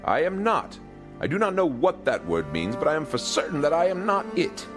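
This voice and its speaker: A man's voice: a little high, huge pitch swings, moderate pace, over music. Man playing a pompous, wildly swooping king. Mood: defensive. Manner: pompous, blustering, formal diction without contractions. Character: pompous, dim-witted, stubborn, defensive medieval king. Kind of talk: comedic audio drama acting.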